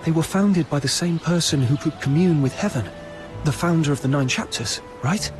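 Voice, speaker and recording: Inquisitive young male adventurer played by a man, slightly high voice, thoughtful game dialogue, prominent music behind. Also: moderate pace, lightly acted. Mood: curious. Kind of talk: video game character acting.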